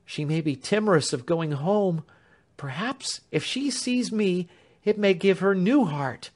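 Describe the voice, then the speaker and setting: Man doing a high-pitched pleading servant woman, lively swooping pitch, tearful. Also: unhurried. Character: distraught loyal female servant, middle-aged woman.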